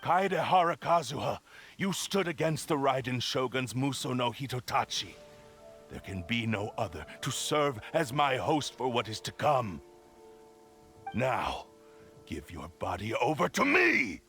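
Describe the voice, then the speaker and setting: An actor voicing a ruthless spirit that possesses men's bodies, speaking in grandiose, contemptuous declarations for a video game. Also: male voice, medium pitch, very animated, unhurried, a bit bright, faint music behind. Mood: zealous. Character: ruthless male spirit possessing hosts, proud swordsman.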